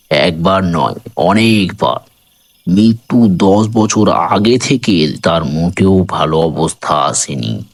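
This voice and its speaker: A man reading a literary story in a measured, dramatic narrating style. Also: deep voice.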